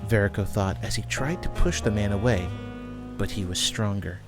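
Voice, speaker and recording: Casting: male narrator for a fantasy tale, somewhat deep, scripted read over background music. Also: normal speed.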